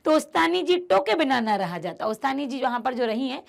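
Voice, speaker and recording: A woman's voice: sits high, big pitch swings, fairly quick, creaky. A woman teacher explaining a textbook chapter to her students, emphatic and repeating key phrases as she speaks to them directly. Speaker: Hindi teacher.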